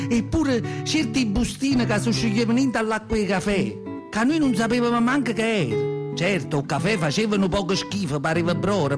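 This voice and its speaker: An elderly, plainspoken mother from southern Italy, reminisces in a radio play with a thick Neapolitan accent. The voice sits fairly high, confiding and rambling as it circles back over phrases. Plucked guitar music plays clearly behind it. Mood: fond, animated. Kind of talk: radio theatre monologue in dialect.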